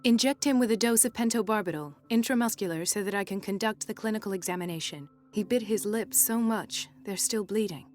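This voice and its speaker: An actress playing a composed, caring and determined hospital doctor in a video game, with a measured clinical delivery. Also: female voice, mid-range voice, darkish tone, faint music behind, natural voice. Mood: urgent, commanding. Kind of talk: video game cutscene acting.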